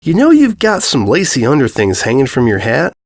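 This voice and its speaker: A man's voice: mid-range voice, wildly swooping pitch, fairly quick. Young farm mare, played by a male narrator: folksy, easygoing, plain-spoken storybook character. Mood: matter-of-fact. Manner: folksy, matter-of-fact.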